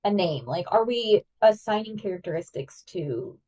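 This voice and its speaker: A woman's voice: mid-range voice, expressive, normal speed, warm tone, recorded from a distance, rough recording. A woman co-hosting a podcast in casual, chatty conversation, often saying "like". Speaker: podcast co-host. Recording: voice a bit off-mic.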